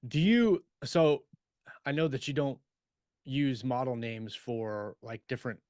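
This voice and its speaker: A man co-hosting a podcast in casual chat, thinking aloud in a halting, tentative way. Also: medium pitch, darkish tone, dry recording, studio quality. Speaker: podcast co-host, science fiction author. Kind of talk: casual chat about writing craft.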